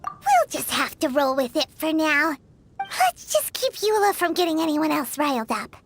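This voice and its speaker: A woman's voice: high voice, very animated, a little hiss or hum. A woman voicing a tiny, eager fairy companion in a video game who talks about herself in the third person. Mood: insistent. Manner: quick, bouncy, insistent.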